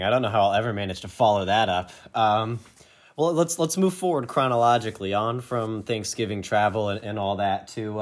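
A man co-hosting a podcast, explaining a topic in a semi-scripted, conversational way. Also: on the low side. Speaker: podcast co-host.

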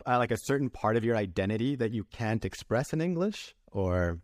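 A man's voice: on the low side, lively intonation, brisk, no room sound, clean studio sound. A man hosting a casual conversational interview, asking questions and chatting along with his guest. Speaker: podcast host, interviewer.

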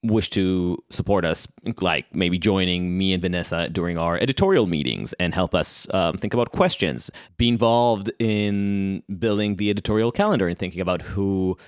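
A man's voice: low-pitched, moderate pace, hardly any pauses, clean tone, no room sound. A man with an Israeli accent co-hosting a podcast, talking casually and hesitantly with restarts and dry humor. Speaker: podcast co-host. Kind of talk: casual podcast chat and promo.

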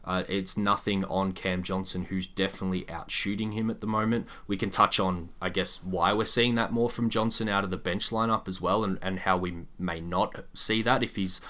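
A man's voice: low-pitched, steady tone, moderate pace, few pauses, bright, forceful tone. A man hosting a sports podcast, discussing basketball in a casual, conversational way.

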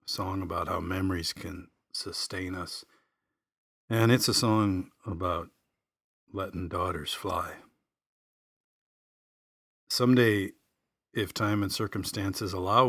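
A man's voice: deep voice, creaky, a bit bright, husky, no echo, clean studio sound. A middle-aged man telling a personal story in a reflective, folksy, plain-spoken way. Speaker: podcast host and singer-songwriter. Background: acoustic guitar music.

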